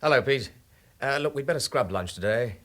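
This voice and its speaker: An actor playing a young, gently wry detective sergeant in a radio drama, with a British accent and a deferential, matter-of-fact manner. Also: male voice, mid-range voice, expressive, brisk, lightly acted. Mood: businesslike.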